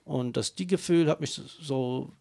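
A Kurdish singer whose first language is Kurdish, speaking hesitant, broken German with many fillers while being interviewed. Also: male voice, mid-range voice, vocal fry, darkish tone, no echo. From Kurdish region of Turkey. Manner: halting non-native German with many fillers. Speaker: singer, guest. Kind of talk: interview answers.

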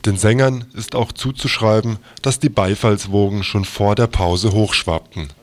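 A man's voice: lowish voice, fairly even intonation, moderate pace. A man reading aloud a written newspaper review as a quoted text. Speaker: reader of quoted articles.

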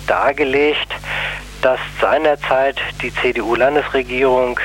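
A man giving a radio interview, explaining his case in a deliberate, hesitant manner. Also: mid-range voice, steady tone, fairly bright tone, slight background noise, rough recording. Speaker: interview guest, anti-nuclear plaintiff.

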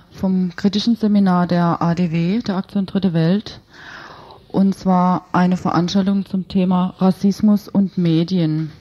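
A woman's voice: lowish voice, mellow. A woman interviewing informally in a radio studio, on a dull lo-fi recording.

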